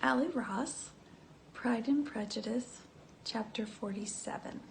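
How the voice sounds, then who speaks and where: A woman's voice: on the high side, takes it slowly, creaky. A woman reading a classic novel aloud, acting out its dialogue as an amateur narrator. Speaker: volunteer audiobook reader. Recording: echoey room with faint background noise.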